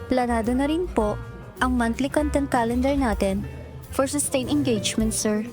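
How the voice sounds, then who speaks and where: A high-pitched young Filipino office worker played by a woman over clear background music. She is eager and deferential, with a flustered, girlish streak of secret affection, in the manner of a romantic audio drama. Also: normal speed, close to an everyday voice. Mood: earnest, professional. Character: eager young female marketing employee, secretly smitten with her boss. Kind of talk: romantic audio drama acting, Tagalog-English mix.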